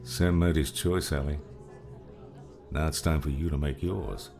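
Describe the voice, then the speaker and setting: A man acting a gravelly, very deep, cryptic mentor. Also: steady tone, unhurried, heavily acted. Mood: measured, wry. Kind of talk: dramatized audiobook dialogue. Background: background music.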